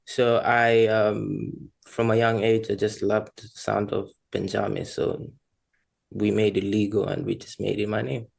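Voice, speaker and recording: A man's voice: lowish voice, flat delivery, measured pace, creaky. A man from Rwanda chatting casually as a podcast guest, candid and self-deprecating. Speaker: podcast guest, freelance creative. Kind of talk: casual personal chat.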